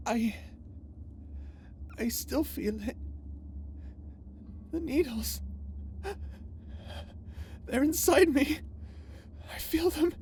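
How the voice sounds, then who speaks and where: A woman playing an unhinged, vengeful, lovesick and tormented space villain in an ASMR roleplay drama, speaking in a theatrical, halting way. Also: high voice, expressive, a little mellow, slight background noise, heavily acted. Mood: haunted menace. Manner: theatrical, halting, swinging between tender menace and anguish.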